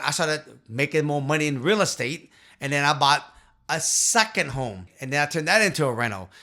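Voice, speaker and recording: Man, 50s, earnest vlog host speaking to camera into a studio mic; bright, slightly raised voice. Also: a little high. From Vietnam. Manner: earnest, emphatic, talks with his hands. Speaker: real estate investor and channel host. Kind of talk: direct-to-camera storytelling. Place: small home office. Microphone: studio mic on a boom arm.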